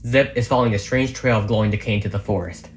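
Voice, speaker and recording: A narrator with a mid-pitched voice reads an audio drama script in a dramatic storytelling style. Music plays behind the narration. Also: steady tone, fast talker. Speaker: narrator reading all parts of a fan audio drama. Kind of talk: dramatic script reading narration with stage directions. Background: background music.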